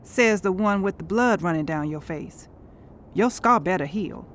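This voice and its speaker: Sassy, sharp-tongued woman, very expressive. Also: fairly low pitch, unhurried, slight background noise. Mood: sardonic, teasing. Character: sharp-tongued, indignant, sardonic woman, singer Lorraine. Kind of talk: naturalistic audio drama acting.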